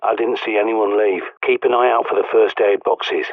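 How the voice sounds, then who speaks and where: An actor playing a gruff, bossy middle-aged survivalist in a video game, blunt and sardonic, heard through a radio filter. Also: male voice, on the low side, steady tone, normal speed, no room sound. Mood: gruff, cautioning. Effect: radio transmission filter. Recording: tinny, band-limited radio with static.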